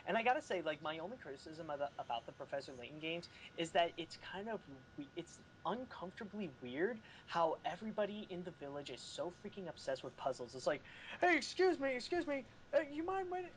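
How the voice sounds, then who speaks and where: A man with a somewhat high, very lively voice chats casually on a panel. His intonation leaps around as he makes his points, and background noise sits behind him. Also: low-quality recording. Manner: animated, chatty, fond of acting out examples. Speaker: podcast panelist. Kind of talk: casual chat.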